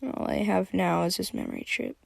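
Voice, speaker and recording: A woman's voice: lowish voice, huge pitch swings, fairly quick, gravelly, a little mellow, dry recording, exaggerated voice. Storybook role: wide-eyed, shy but gushing young girl, played by a woman, breathless, close dry sound. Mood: tearful, subdued. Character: excitable, naive young girl, shy, bursting with wonder.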